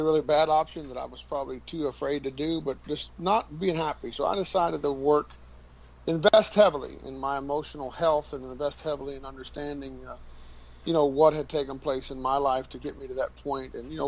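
A man in his forties with a Kentucky accent, a radio interview guest telling his life story in an earnest, halting way with many fillers. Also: a little high, poor audio. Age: 40s.

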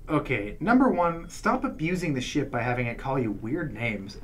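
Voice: mid-range voice, big pitch swings, moderate pace, natural voice. An actor playing an exasperated, nagging spaceship sidekick, scolding and incredulous, in a comic radio play.

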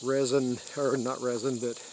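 An older American man giving a casual, unscripted show-and-tell in halting short phrases, recorded outdoors on a handheld device. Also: mid-range voice, level tone, slight background noise. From United States. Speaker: radio hobbyist giving a tour. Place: open rural backyard, outdoors. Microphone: handheld phone or camera mic.

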